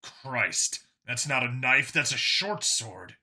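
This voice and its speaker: A narrator voicing a gruff, wary human man, a cop with a dry, casual, muttering delivery in a sci-fi audiobook. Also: medium pitch, lively intonation, slow, pushed and bright, full character voice. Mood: startled muttering.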